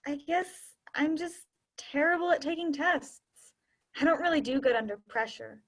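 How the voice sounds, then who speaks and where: A young woman in an amateur stage play, acting an insecure, easily flustered high school senior who stumbles over words. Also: normal speed. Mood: discouraged. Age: young adult. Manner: flustered, stammering.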